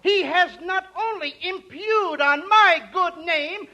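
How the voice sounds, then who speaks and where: A man's voice: very high-pitched, slow pace, pushed and bright. A man playing the indignant comic in a 1940s radio comedy, whining and mangling big words. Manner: whiny, indignant, malapropisms.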